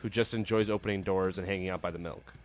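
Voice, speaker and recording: Phlegmy guy hosting a podcast, kinda low voice, faint music under it. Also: steady tone, fast talker. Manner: rambling, hesitant restarts. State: phlegmy, getting over a cold. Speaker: podcast host. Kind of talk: casual chat.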